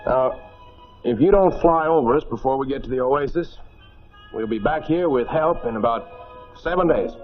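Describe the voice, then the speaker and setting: An American man playing a steady, caring airman skipper who gives matter-of-fact orders in a 1940s film drama. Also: mid-range voice, normal speed, natural voice. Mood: resolute. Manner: instructive, matter-of-fact, encouraging. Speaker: actor. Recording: muffled 1940s film soundtrack, faint music.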